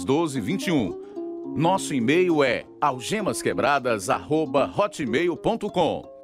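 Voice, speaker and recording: Male radio announcer, Brazilian accent, scripted studio read, lively intonation, guitar underscore. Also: medium pitch. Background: guitar music.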